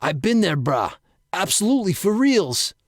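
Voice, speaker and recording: A man's voice: sits high, very animated, normal speed, no room sound, studio quality, pitched above the performer's own voice, heavily acted. A man voicing a burly, good-natured cement worker in a slangy tough-guy delivery for a storytelling piece. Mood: enthusiastic.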